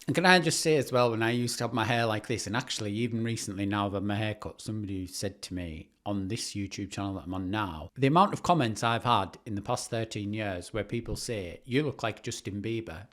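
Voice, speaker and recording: A British man with a lowish voice talks as a YouTuber. His delivery is casual and self-mocking, like informal commentary on his own work. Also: a little fast, hardly any pauses, fairly bright tone. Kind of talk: casual reaction commentary on old videos.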